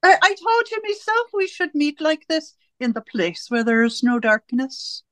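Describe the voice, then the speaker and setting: A woman playing a cold, domineering interrogator in a radio drama, speaking in deliberate, commanding, rhetorical questions and pronouncements. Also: sits high, very animated, a bit bright, no room sound. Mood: knowing, smug. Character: cold, domineering party interrogator and torturer, righteous and controlling. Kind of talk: theatrical radio drama acting.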